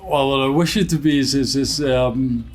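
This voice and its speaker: A man from the Netherlands with a Dutch accent, answering interview questions as a company chief in a measured, explanatory way and repeating words as he forms his thoughts. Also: medium pitch, a little mellow. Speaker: chief executive of a hotel company, interview guest.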